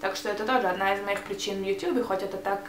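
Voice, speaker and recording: A young woman with a Hebrew accent talks straight to the camera in a casual vlog, searching for words and restarting them as she goes. Her voice sits at an ordinary middle pitch. The small room rings noticeably, and a faint hum runs underneath. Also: steady tone, rapid-fire, echoey room. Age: young adult. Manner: hesitant, restarts words while searching for Russian. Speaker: vlogger. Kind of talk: casual tag video answering questions. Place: small bright room, indoors. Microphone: camera mic, seated facing camera.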